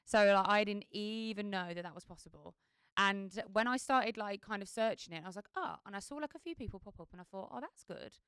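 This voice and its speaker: A woman's voice: mid-range voice, fairly quick, a bit bright, clear, resonant tone, no echo. A woman, a wedding singer chatting on a podcast, talking chaotically with lots of fillers. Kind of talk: casual chat.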